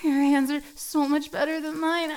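A woman playing a submissive, needy cat girl in an intimate adult audio roleplay, halting and breathy as she pleads. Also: high voice, little pitch movement, a little mellow. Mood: flustered, pleading.

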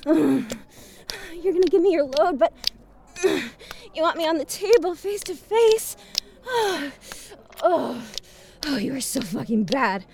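Adult female restaurant worker, played by a woman, erotic audio drama: exasperated, sweary, very high pitch, slow delivery with wide swings. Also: heavily acted. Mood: exasperated, impatient. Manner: breathy exclamations, pleading, swearing. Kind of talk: explicit erotic audio roleplay.

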